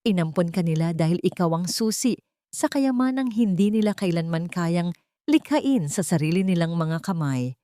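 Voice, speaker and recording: A woman's voice: fairly low pitch, animated, moderate pace, dark tone, no room sound, lightly acted. A woman voicing a protective, intense man, devoted and solemn, in a dramatic audio story. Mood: angry, intense.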